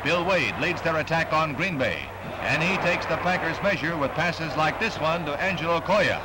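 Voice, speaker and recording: A man's voice: on the high side, lively intonation, normal speed, heavy background noise. An American man reading scripted newsreel narration in a formal, declamatory announcer style on a 1960s film soundtrack.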